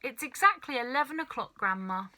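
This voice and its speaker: A woman's voice: high-pitched, animated, fairly quick, bright, forceful tone, heavily acted. A woman voicing an eager, polite, slightly cheeky young boy in a children's storybook. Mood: polite, questioning.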